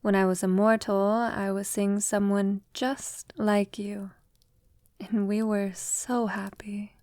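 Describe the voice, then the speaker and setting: A woman playing an affectionate centuries-old vampire lover in an intimate ASMR roleplay, speaking softly and formally, often slipping toward a breathy whisper. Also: mid-range voice, level tone, no echo, studio quality, natural voice. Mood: reflective, wistful. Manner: soft, tender, unhurried, often breathy near-whisper; formal, elegant wording.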